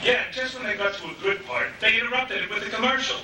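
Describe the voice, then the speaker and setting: A boastful, teasing male comic played by a stage actor with a fairly high voice, trading revue banter. He is heard from far off in a heavily reverberant hall. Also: fairly even intonation, quick pace, background noise. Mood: conversational. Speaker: performer in a stage musical comedy. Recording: very echoey distant stage tape, music.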